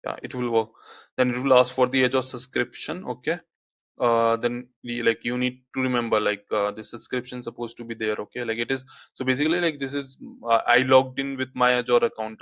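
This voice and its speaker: A man whose first language is Hindi, walking through a software tutorial on screen, peppering his speech with "okay, like" fillers. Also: mid-range voice, no room sound. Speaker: tutorial instructor.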